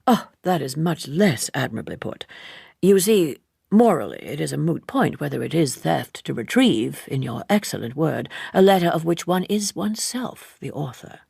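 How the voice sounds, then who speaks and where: A woman voicing a suave, worldly gentleman in an audiobook, leisurely, wordy and teasingly ironic, an amused seducer. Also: sits low, very animated, moderate pace, gravelly, husky, no room sound, studio quality, exaggerated voice. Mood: smooth, amused. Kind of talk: audiobook character dialogue.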